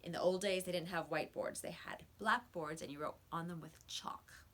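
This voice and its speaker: A woman's voice: on the low side, normal speed, fairly bright tone. A woman reading a children's story aloud like a teacher, with emphatic, dramatic storytelling.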